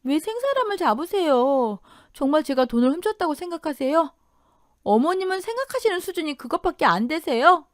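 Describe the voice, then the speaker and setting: Woman as wronged daughter-in-law, audio drama, high pitch, pleading, indignant, protesting. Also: big pitch swings, a little fast, dry recording, studio quality.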